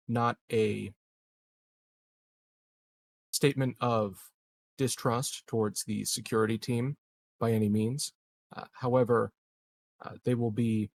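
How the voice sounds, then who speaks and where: A man playing a calculating colonial leader in a tabletop game, speaking formally and haltingly like a careful politician. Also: medium pitch, gravelly. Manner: formal, measured, halting diplomatic phrasing. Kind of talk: improvised tabletop roleplay dialogue.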